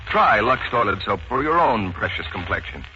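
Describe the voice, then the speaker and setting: A man's voice: lowish voice, sing-song, moderate pace, background noise. A man working as announcer, delivering a scripted 1940s radio commercial. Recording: dull 1940s radio broadcast recording.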